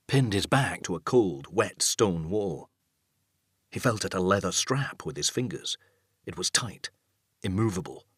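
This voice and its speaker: A man reading a dark thriller audiobook as scripted narration, measured and suspenseful. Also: sits low, breathy edge, no echo, clean studio sound.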